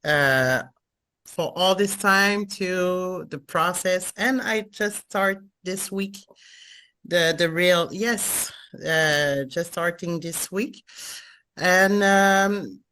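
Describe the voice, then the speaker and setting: A woman with a French Canadian accent speaks at a very slow, careful pace, her voice sitting a little low. She hesitates often, filling the gaps with small fillers while she reaches for English words. It sounds like a casual introduction on a group video call. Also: fairly bright tone. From Montreal, Canada. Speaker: remodeling franchise owner, meeting participant.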